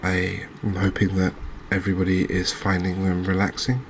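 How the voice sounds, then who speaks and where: Low, even-voiced man doing ASMR gaming. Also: moderate pace, slight background noise. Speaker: video game streamer. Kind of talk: soft-spoken ASMR gameplay commentary.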